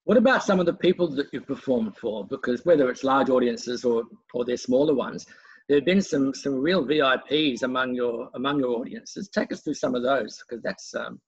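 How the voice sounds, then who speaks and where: Male interviewer. Husky, creaky voice, encouraging and chatty, on a slightly muffled recording. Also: medium pitch, moderate pace. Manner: encouraging, effusive. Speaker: interviewer, host.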